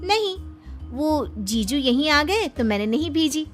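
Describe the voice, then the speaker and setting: A woman's voice: high voice, sing-song, measured pace, soft background music, voice pushed up. A woman voicing a kind, outspoken young woman in a cartoon story, sweet, earnest and direct.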